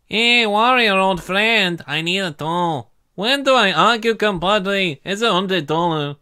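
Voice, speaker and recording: A man voicing a pleading, excitable cartoon boat trader who drops Spanish words into his talk. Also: sits high, unhurried, clean tone, pitched above the performer's own voice.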